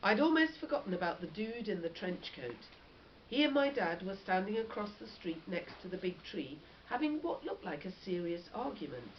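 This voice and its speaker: A woman, a teacher reading a story aloud and putting questions to her class in an expressive, encouraging way. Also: medium pitch, normal speed. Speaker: primary school teacher. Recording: dull lo-fi recording with background noise.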